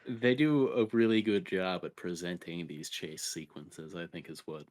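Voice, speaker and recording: A man's voice: low-pitched, normal speed, darkish tone. A man co-hosting a gaming podcast, talking in a casual, conversational way. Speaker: podcast co-host and game reviewer.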